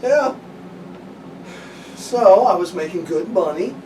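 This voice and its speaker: A man's voice: high-pitched, expressive, slow, mellow. A middle-aged man telling a story in a plain, matter-of-fact way. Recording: echoey room, far mic, noise.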